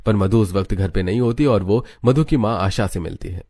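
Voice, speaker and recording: Male story narrator, lowish pitch, dark relaxed timbre, scripted storytelling. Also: a little fast.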